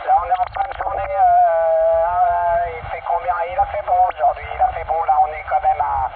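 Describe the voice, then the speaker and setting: A man speaking as an amateur radio operator over a radio transmission, in halting, courteous procedural jargon. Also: fairly high pitch, flat delivery. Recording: narrow, noisy long-distance radio signal.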